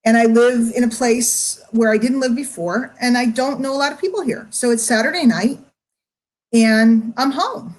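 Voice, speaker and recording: Emotional middle-aged woman, fairly high voice. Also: a little mellow, rough recording. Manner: confiding, emphatic, with drawn-out words and pauses. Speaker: online marketer addressing followers. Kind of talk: candid livestream monologue to followers.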